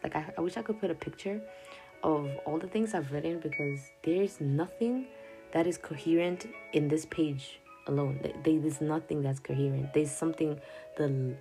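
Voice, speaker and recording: A woman's voice: deep voice, normal speed, a little mellow, poor audio. A young woman whose first language is Swahili, rambling through her journal notes in a casual podcast. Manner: rambling, self-interrupting, with frequent laughs and "yada yada". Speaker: podcast host. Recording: faint music behind the voice.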